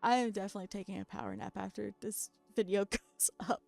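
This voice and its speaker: Tired woman with a gravelly, cracking voice. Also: mid-range voice, fairly even intonation, fast talker, a little mellow, soft background music. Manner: chatty, self-deprecating, laughs often. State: very tired after staying up all night. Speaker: doll customizing creator. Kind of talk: casual craft voice-over narration.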